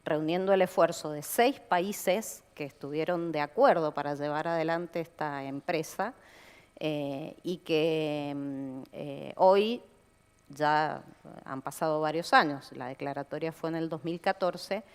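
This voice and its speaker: A woman's voice: sits low, unhurried, smooth. A woman answering on a conference panel, thoughtful and hesitant, with frequent fillers and tag questions. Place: conference hall. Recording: long hall reverb.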